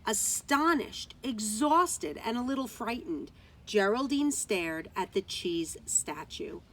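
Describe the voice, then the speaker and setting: A woman's voice: medium pitch, animated, takes it slowly, fairly bright tone, low-quality recording. A woman teacher reading a children's story aloud outdoors, expressively, with dramatic emphasis on key words.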